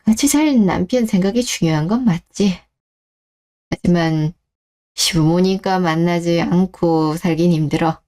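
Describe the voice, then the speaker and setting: A woman voicing a protective middle-aged father in a scripted story-radio drama, frank, measured and cautioning. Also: on the low side, dark tone, close to an everyday voice. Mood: worried.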